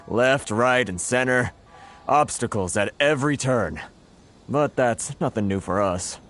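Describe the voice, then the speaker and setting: An actor playing a world-weary, aggrieved foreign merchant who grumbles formally in dramatic video game dialogue. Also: male voice, medium pitch, measured pace, fairly bright tone, soft background music, natural voice. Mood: exasperated. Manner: sighing, grumbling, formal wording.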